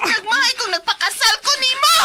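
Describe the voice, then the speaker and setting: A bitter Cebuano wife. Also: female voice, extremely high pitch, fairly quick, heavily acted. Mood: heated. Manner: sharp and confrontational. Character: estranged wife, bitter and demanding. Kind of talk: 1990s radio drama acting.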